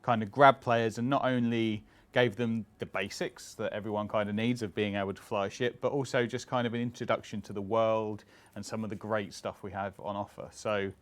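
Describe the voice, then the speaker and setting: A man answering interview questions as a game designer, relaxed and explanatory with frequent "kind of" fillers. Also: fairly low pitch, fairly quick, few pauses.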